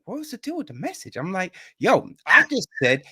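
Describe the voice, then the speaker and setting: A man's voice: high-pitched, brisk, slightly rough. A man hosting a podcast interview, telling stories casually and acting out the quoted lines with lots of energy. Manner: animated storyteller acting out quoted dialogue. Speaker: podcast host.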